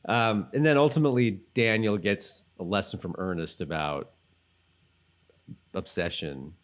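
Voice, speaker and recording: A man co-hosting a casual podcast chat, rambling and halting as he thinks aloud. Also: low-pitched. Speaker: podcast co-host.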